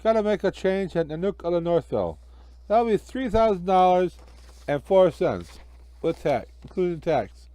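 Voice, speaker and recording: An officious ticket clerk played by a man, high in pitch and fussy. He rattles things off matter-of-factly in a storybook tale, with plenty of melody. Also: expressive, moderate pace, warm tone, dry recording. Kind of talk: amateur children's storybook reading.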